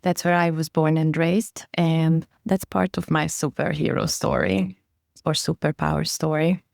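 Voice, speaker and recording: A woman's voice: low voice, unhurried, vocal fry, darkish tone, dry recording, clean studio sound. A woman from Hungary with a Hungarian accent, a native Hungarian speaker, telling her story earnestly as an interview guest. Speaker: guest, parenting coach.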